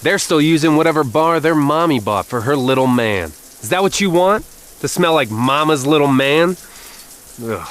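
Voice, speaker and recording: Brash male pitchman with swooping delivery. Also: expressive, normal speed, a little hiss or hum. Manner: brash, mock-macho. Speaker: commercial pitchman. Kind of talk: comedic, punchy scripted ad pitch.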